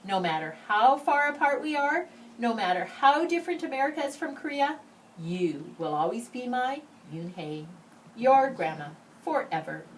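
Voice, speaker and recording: A woman's voice: a little high, sing-song, recorded from a distance, slight background noise, pitched above the performer's own voice, low-quality recording. Woman reading as a tender, lilting grandmother. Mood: loving, wistful. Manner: tender, measured. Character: loving elderly Korean grandmother writing a letter.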